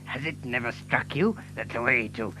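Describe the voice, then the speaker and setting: A man's voice: medium pitch, normal speed, pushed and bright, soft background music, lightly acted, poor audio. An actor playing a cantankerous, gloating old man in an audio drama, crotchety, muttering and repeating himself. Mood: gloating, aggrieved. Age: older.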